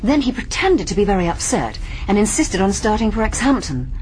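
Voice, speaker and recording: A woman's voice: mid-range voice, very animated, brisk, a little mellow, lightly acted, low-quality recording. An actress playing a keen, clever young woman sleuth with an English accent in a radio mystery drama. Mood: eager, explaining. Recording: faint background noise.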